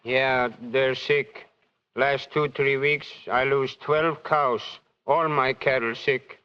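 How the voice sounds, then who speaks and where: An actor playing a worried, pleading middle-aged farmer who speaks halting broken English, in a radio drama. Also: male voice, mid-range voice, steady tone, pushed and bright, clear, resonant tone. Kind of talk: 1940s radio acting. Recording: dull old radio recording.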